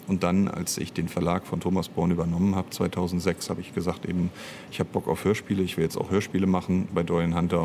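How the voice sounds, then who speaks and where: Male interview guest, lowish pitch, thoughtful explainer with hesitant phrasing, slight background noise. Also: a little mellow. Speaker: interview guest, audio drama writer and producer. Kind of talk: casual conversational interview.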